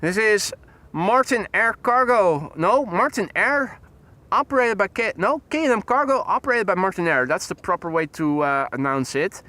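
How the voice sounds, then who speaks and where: A man's voice: high-pitched, normal speed, sharp tone. A man hosting a live plane-spotting stream, chatting and greeting viewers by name as he commentates. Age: 40s.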